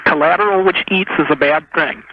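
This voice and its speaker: A man's voice: a little high, brisk, no echo. A man talking conversationally as a caller to a radio show, speaking over a telephone. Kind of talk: casual call-in conversation. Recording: muffled, noisy phone line with clicks.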